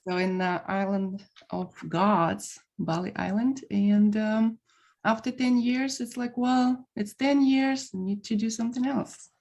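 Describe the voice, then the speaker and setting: Gravelly-voiced Russian-accented woman. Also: medium pitch, a little slow. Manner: relaxed, hesitant with fillers. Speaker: podcast guest. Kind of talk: casual chat.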